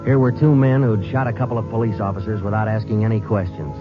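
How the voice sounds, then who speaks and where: A man's voice: on the low side, fast, close to an everyday voice. An actor playing a terse police detective who narrates his case in a dry, matter-of-fact way in a 1950s radio crime drama. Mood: deadpan. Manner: deadpan, clipped, matter-of-fact. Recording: muffled old radio recording with music.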